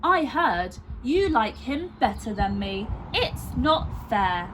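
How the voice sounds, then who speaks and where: A woman's voice: fairly high pitch, big pitch swings, slow, a little hiss or hum. A woman voicing an inquisitive, indignant young elephant girl in a children's story, questioning and a little whiny.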